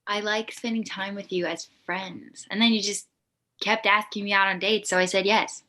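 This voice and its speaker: A woman's voice: mid-range voice, steady tone, moderate pace, pushed and bright, natural voice. A woman playing a blunt, exasperated sixteen-year-old girl in a comedy script table read. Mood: guarded, deadpan.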